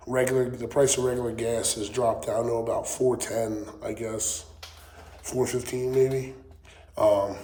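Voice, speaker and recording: A man's voice: lowish voice, darkish tone. Channel host, New man with a weak, recovering voice, rambling rant, roomy workshop echo. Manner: rambling, frequent ums and swearing. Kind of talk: casual political rant to camera. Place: wood shop. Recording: echoey workshop with faint noise.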